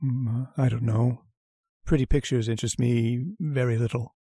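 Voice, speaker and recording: A man's voice: mid-range voice, flat delivery, normal speed, gravelly, husky, no echo, clean studio sound. A man playing a stammering, secretive lodger. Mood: withdrawn. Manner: hesitant and stammering, soft-spoken. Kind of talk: radio drama acting.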